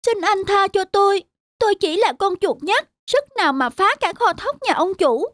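A woman's voice: very high-pitched, lively intonation, normal speed, a bit bright, no echo. A woman voicing a small, timid male mouse in a children's cartoon, pleading and wheedling deferentially.

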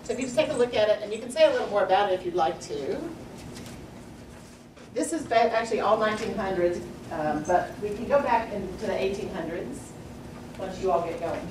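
A woman's voice: mid-range voice, fairly quick. An older woman leading a workshop in a large lecture hall, explaining the plan step by step in a friendly way. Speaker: workshop facilitator. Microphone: far camera. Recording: echoey lecture hall from far off, noisy.

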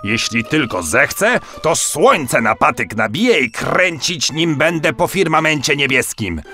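Brief: man playing a loud, bragging giant from a fairy-tale audiobook, forceful and slow. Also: very animated, soft background music, exaggerated voice. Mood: boastful. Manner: booming, bragging, drawn out. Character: boastful giant strongman, loud and proud.

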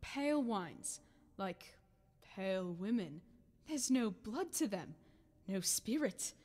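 A woman's voice: medium pitch, sing-song, slow, a little mellow. Woman playing echoey, leering drinking crony. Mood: scornful. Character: leering male drinking crony of a wicked lord. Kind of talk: 1930s radio drama acting. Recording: long echoing hall reverb.

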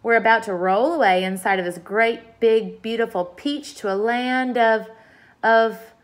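A woman reading aloud as a kind, gentle female ladybug in a storybook. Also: on the high side, fairly even intonation, measured pace, voice pushed up, close to an everyday voice.

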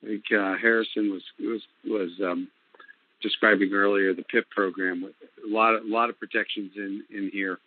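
A man giving an unscripted answer on a telephone conference call, halting and repeating his words. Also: lowish voice, fairly even intonation, no echo. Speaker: speaker responding on a conference call. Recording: tinny, narrowband phone line.

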